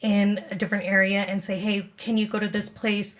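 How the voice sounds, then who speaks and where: Woman guest explaining, medium pitch. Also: level tone, fairly quick. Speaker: podcast guest, amateur radio club event director. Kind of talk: conversational explaining in an interview.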